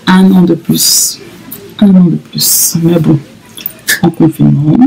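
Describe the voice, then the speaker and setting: A woman in her thirties talking casually to camera in a vlog, halting with many fillers and repeats. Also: low voice, fairly even intonation, gravelly, darkish tone, a little hiss or hum, poor audio. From Congo (DRC). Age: 30s. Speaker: vlogger.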